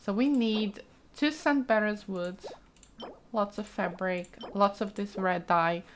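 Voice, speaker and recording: A woman's voice: mid-range voice, takes it slowly, slight background noise. A woman giving casual, chatty let's play commentary, thinking aloud with frequent exclamations as she explores a game. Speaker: gaming streamer, let's play host.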